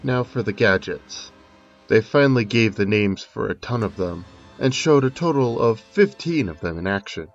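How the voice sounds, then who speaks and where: Man, lowish pitch, scripted gaming commentary, informal asides, faint music behind. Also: animated, normal speed, muffled recording. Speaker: video game analysis host. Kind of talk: scripted narration, game footage analysis commentary. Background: music under the voice.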